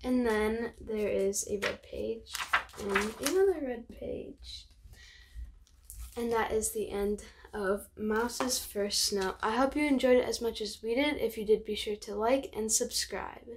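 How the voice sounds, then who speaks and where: A young teenage girl reading a picture book aloud, expressive and child-friendly, with chatty asides. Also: a little high. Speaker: host of a read-aloud channel. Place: bedroom, sitting on a bed. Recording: clear background noise.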